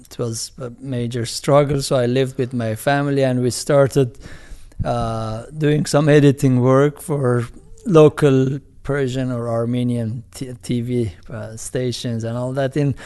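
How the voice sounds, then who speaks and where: A man with an Iranian accent, a film director speaking as an interview guest in a halting way, with many restarts, fillers and chuckles. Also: medium pitch, fairly even intonation, mellow, clear, resonant tone. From Iran. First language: Farsi. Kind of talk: casual interview talk.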